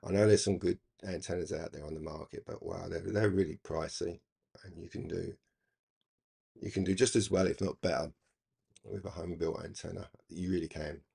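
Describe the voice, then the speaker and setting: A man's voice: sits low, fairly even intonation, moderate pace, vocal fry, mellow, breathy edge. A middle-aged British man talking casually and unscripted as a hobbyist video host, rambling hesitantly and repeating words as he goes. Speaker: YouTube radio hobbyist host. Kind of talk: casual unscripted vlog talk.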